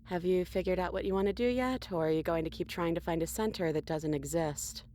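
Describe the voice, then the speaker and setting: A woman voicing a poised, knowing princess in a video game, measured and faintly menacing. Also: on the low side, mellow, close to an everyday voice. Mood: cool, teasing. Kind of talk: visual novel game voice acting.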